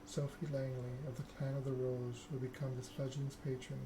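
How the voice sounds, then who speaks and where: Stern vampire monarch for a game, female role read by a man: formal, imperious, flat intonation. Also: medium pitch, moderate pace, noisy background, close to an everyday voice. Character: stern, formal vampire prince holding court, a woman.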